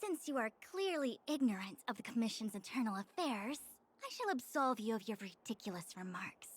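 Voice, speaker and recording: Game role: proud chief diviner, high voice, imperious and formal, measured diction. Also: female voice, sing-song, slightly rough. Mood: haughty, dismissive. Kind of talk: video game voice acting.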